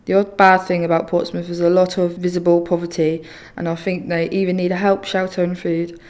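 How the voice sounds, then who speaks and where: A woman's voice: lowish voice, flat delivery, brisk, a little mellow. A 16-year-old girl, speaking earnestly in personal testimony for a short film. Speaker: young film participant.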